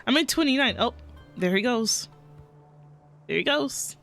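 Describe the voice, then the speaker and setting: Unhurried woman streamer reacting to a game. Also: fairly high pitch, lively intonation. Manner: giggly, exclamatory, swears casually. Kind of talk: casual live game reaction commentary. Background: soft game music.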